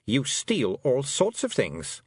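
A man's voice: medium pitch, wildly swooping pitch, slow, slightly rough, no room sound, clean studio sound, voice pushed up, heavily acted. A man voicing a stubborn young witch girl who speaks bluntly and defiantly.

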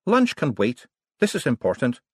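A man's voice: medium pitch, huge pitch swings, breathy edge, no echo, studio quality, pitched above the performer's own voice. A man voicing a blunt, determined, short-tempered woman in an audiobook. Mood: brisk. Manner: blunt, brisk, assertive.

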